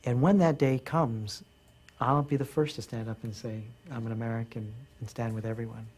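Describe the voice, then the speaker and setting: A man facilitating a group discussion, speaking in an earnest, measured way. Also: mid-range voice, fairly even intonation, a little mellow.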